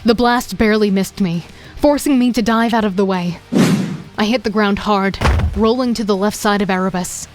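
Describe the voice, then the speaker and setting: A woman giving steady first-person narration for an audio drama. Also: mid-range voice, normal speed, music in the background. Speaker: narrator and lead voice actor.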